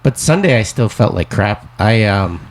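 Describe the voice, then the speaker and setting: A man co-hosting a podcast in casual chat, slightly raspy as he gets over the flu, rambling along in a wry, self-deprecating way. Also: lowish voice, lively intonation, moderate pace. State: getting over flu, occasional cough. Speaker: podcast co-host.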